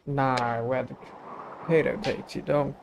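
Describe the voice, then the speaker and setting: A man's voice: medium pitch, steady tone, slow pace, vocal fry, a little hiss or hum. A man live streaming a video game, giving casual, blunt and slangy commentary and sometimes reading game text aloud.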